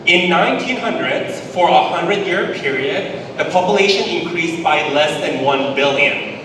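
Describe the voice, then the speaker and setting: A man from Thailand with a Thai accent, giving a public presentation in English as a conference speaker, deliberate and emphatic with repetition. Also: a little high, lively intonation. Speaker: conference speaker, business executive. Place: large indoor event hall. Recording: very echoey hall, distant, noisy ambience.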